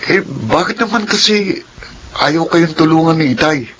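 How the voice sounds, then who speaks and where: A man's voice: a little high, moderate pace, fairly bright tone, a little hiss or hum, lightly acted, poor audio. A male actor playing an earnest, worried schoolboy son in a Tagalog radio drama.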